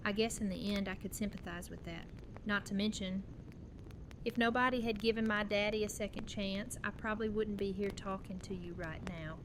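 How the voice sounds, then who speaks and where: A woman's voice: medium pitch, steady tone, moderate pace, natural voice, rough recording. A woman playing a tender, resolute young widowed mother in an audio drama, speaking in an earnest, conversational way. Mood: serious, reflective. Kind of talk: fan audio drama dialogue. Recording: clear background noise and ambience.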